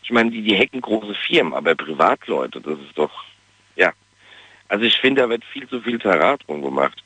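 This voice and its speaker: A man's voice: lowish voice, big pitch swings, normal speed, pushed and bright, husky, no echo. A male caller with a regional German dialect, chatting enthusiastically with lots of fillers, heard over a phone line. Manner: enthusiastic, many "äh" fillers and "ja?" tags.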